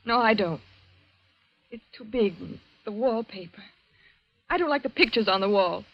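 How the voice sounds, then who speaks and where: An actress playing a moody, high-strung, fearful young woman in 1940s radio drama, halting and repeating herself insistently. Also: animated. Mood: anxious insistence. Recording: dull vintage radio recording.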